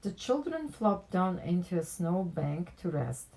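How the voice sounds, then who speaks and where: A woman reads a picture book aloud in an expressive storytelling style for children. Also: on the low side, measured pace, poor audio. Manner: animated character dialogue with plain narration.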